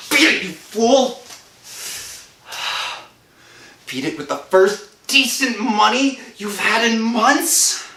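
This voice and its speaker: An actor playing a desperate, haunted unemployed husband in an emotive 1960s radio horror drama style. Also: male voice, extremely high pitch, very slow, long pauses, pushed and bright, exaggerated voice. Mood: agitated. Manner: melodramatic, emotive, swinging from murmur to outcry. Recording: roomy old-time radio recording with music.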